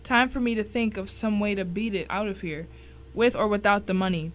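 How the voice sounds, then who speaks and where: A woman's voice: medium pitch, steady tone, a little fast, close to an everyday voice. A woman narrating a dramatic story in the first person as a cornered tennis pro down on their luck. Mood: calculating.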